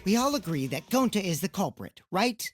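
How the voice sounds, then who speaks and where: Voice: mid-range voice, big pitch swings, a little fast, no echo, faint music behind. A player reading a sly, dismissive teenage schemer's lines in a game trial. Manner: cool, dismissive, pushy. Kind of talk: reading game dialogue aloud.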